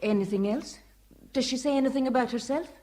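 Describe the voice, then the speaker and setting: Actress playing Jenny, an eager young wife. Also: female voice, high voice, quick pace, dark tone, lightly acted. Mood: affectionate. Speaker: radio drama lead. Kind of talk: 1970s BBC radio play acting.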